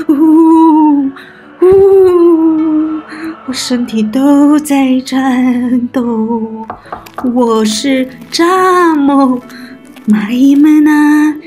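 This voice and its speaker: A woman's voice: high-pitched, warm tone, slightly rough, heavily acted. A woman voicing a lazy, boastful grasshopper in a children's storybook, with a drawling manner.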